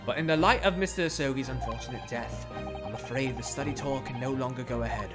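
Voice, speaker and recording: A streamer voicing an eccentric, pompous male detective in a put-on British accent, low and drawling, over game music. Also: quick pace, lightly acted. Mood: smug, explaining.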